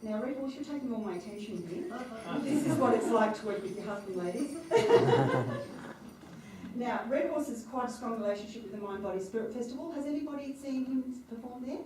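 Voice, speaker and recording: A woman's voice: a little high, expressive, fairly quick, background noise. A woman hosting the event who introduces the performer to the audience in a chatty, playful way. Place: lecture hall before an audience. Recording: echoey hall mic heard from far off.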